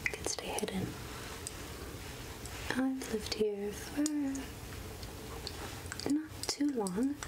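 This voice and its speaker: A woman playing a soothing, wry outcast witch healer in a soft, whispered ASMR roleplay, speaking gently with musing pauses. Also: a little high, noisy background.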